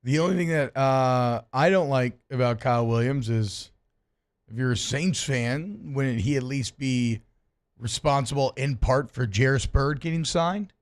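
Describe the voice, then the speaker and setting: A man hosting a sports radio talk show in a studio, animated and casual, with lots of restarts and the odd curse. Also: mid-range voice, unhurried. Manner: animated, swearing, rambling with restarts.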